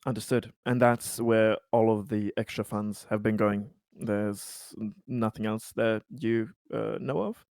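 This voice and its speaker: A man with a slightly low voice plays in a tabletop roleplaying game. He explains his character's actions in a casual, hesitant way, stumbling over words. The sound is very dry and close. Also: steady tone, measured pace, creaky, few pauses, darkish tone, dry recording.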